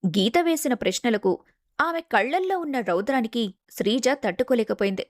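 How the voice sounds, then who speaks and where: A woman's voice: fairly high pitch, animated, moderate pace, dry recording, clean studio sound. A woman reading scripted narration for a family story.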